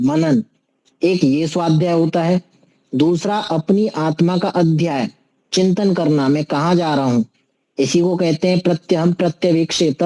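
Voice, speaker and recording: A man's voice: high voice, mellow, low-quality recording. A man giving an explanatory religious discourse as a teacher, didactic, posing questions and answering them himself, with recited Sanskrit verses. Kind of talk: explanatory sermon, teaching scripture to listeners.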